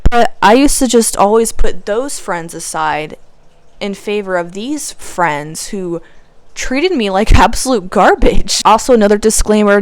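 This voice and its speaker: A woman's voice: medium pitch, clean tone, dry recording. A nineteen-year-old woman, a film student, talking candidly in a casual personal vlog, laughing often. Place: small room, poorly lit.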